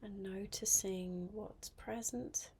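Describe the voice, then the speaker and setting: A woman guiding a mindfulness meditation in a soft, breathy, half-whispered voice, gently and with long pauses. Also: medium pitch, fairly even intonation, a little hiss or hum. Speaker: meditation guide.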